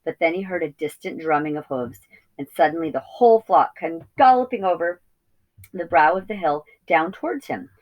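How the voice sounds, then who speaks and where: A woman with a notably low voice narrates a children's story. She reads expressively, as a teacher would for young listeners. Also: normal speed, highs cut off. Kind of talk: expressive children's read-aloud.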